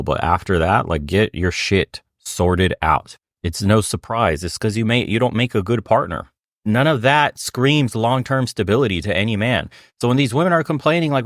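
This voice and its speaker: A man's voice: low-pitched, no echo, clean studio sound. A man hosting a reaction show who comments bluntly, mocking and swearing with scorn. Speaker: host reacting to clips.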